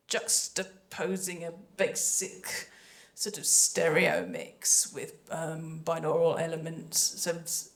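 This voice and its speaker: A woman speaking on a panel as a composer and sound designer, chatty, hesitant and often interrupting herself. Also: lowish voice. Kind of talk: panel talk about creative work.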